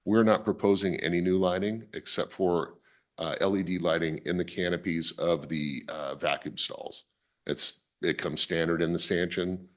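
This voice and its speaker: A man with a raspy, worn voice, presenting his project at a public planning meeting and restarting his sentences often. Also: low-pitched, fairly even intonation, moderate pace. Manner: self-correcting, restarts often. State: raspy voice from a weekend tournament. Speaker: applicant presenting a site plan.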